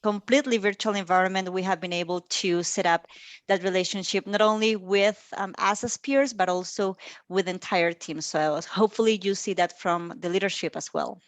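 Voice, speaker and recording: A woman in her thirties, from Costa Rica, talks through slides for colleagues on a video call. Her pitch sits mid-range for a woman, and she fills pauses with a lot of ums. The sound is dry and close, with no room around it. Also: no room sound. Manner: warm, chatty, filler-heavy with frequent ums. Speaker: guest speaker. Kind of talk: online presentation to colleagues.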